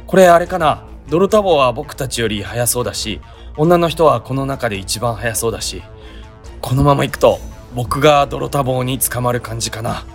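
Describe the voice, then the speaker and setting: A man's voice: mid-range voice, expressive, moderate pace, soft background music, close to an everyday voice. A man playing a mild, naive, resigned young sightseer in a comedic radio drama, plain-spoken and bemused.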